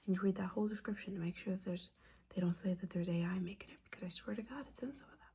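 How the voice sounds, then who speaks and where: A woman playing a disappointed, judgmental but caring witch mentor in a whispered, softly spoken ASMR roleplay, scolding with sassy, casual asides. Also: mid-range voice, fairly even intonation, rapid-fire, a little hiss or hum, natural voice.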